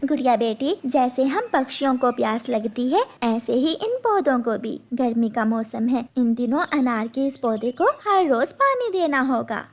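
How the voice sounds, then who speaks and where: A woman's voice: high-pitched, sing-song, unhurried, hardly any pauses, full character voice. A woman voicing a caring, patient mother bird in a children's cartoon, motherly and instructive. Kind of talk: children's cartoon story voice acting.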